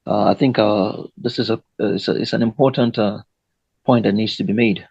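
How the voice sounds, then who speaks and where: Gravelly, husky Nigerian man hosting. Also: on the low side, steady tone, brisk, darkish tone, dry recording, highs cut off. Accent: Nigerian English. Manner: hesitant, many fillers. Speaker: host. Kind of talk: moderated panel discussion.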